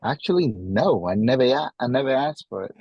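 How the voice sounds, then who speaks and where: A man from Guatemala with a Guatemalan Spanish accent, chatting casually as an interview guest and often repeating his phrases haltingly. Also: medium pitch, animated, a little mellow, breathy edge, no echo, highs cut off. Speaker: podcast guest, tradesman.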